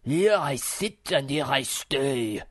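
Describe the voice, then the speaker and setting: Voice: mid-range voice, lively intonation, takes it slowly, no room sound, studio quality, voice pushed up. A performer, a man, voicing a solemn, pompous muskrat philosopher in a storybook, speaking in a ponderous, dignified way.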